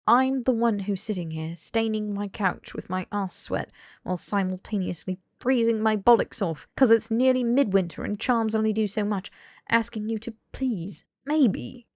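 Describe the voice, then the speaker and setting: A woman's voice: medium pitch, moderate pace, dry recording, studio quality, natural voice. A woman voicing an earnest, blunt young British man in audiobook dialogue, emphatic and pleading. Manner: emphatic, sweary, rambling tirades.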